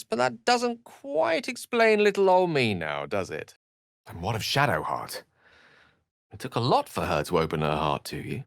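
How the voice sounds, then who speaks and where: A wry bookish british wizard for a fantasy game, lowish voice, swings around a lot, very articulate, dry studio sound. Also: male voice, vocal fry, a bit bright, dry recording, exaggerated voice. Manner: articulate, eloquent, theatrical. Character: erudite, wry, well-spoken male wizard with a melancholy streak. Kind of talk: theatrical fantasy video game voice acting.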